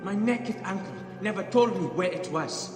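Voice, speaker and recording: High-voiced desperate young man. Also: lively intonation, normal speed. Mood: pleading. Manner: pleading, earnest. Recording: echoey hall, music behind.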